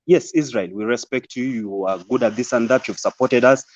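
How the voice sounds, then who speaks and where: A man's voice: medium pitch, normal speed, dry recording, highs cut off. A man, whose first languages are Ateso and Swahili, speaking earnestly as a panel guest and often repeating words as he builds his point. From Uganda. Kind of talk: online panel discussion.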